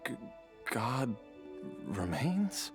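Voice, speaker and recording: An actor playing a confused, ailing father with memory loss in a video game, stammering in a weak, breathy voice. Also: mid-range voice, big pitch swings, loud music behind the voice. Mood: dazed, confused. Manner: halting, stammering, bewildered. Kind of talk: video game character acting.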